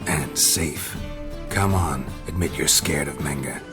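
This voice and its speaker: A gruff monster hunter in a fantasy game. The voice is extremely low and gravelly, speaking in terse, deadpan bursts. Music plays clearly behind it in a long reverb. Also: male voice, takes it slowly. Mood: dry. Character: gruff, stoic, blunt male monster hunter. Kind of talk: video game voice acting.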